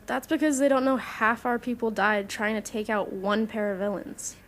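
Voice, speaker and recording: A woman's voice: fairly high pitch, fairly even intonation, normal speed, slight background noise, natural voice. A woman playing a troubled, principled young superhero in an audio drama, speaking sincerely with firm moral conviction. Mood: skeptical, reproachful.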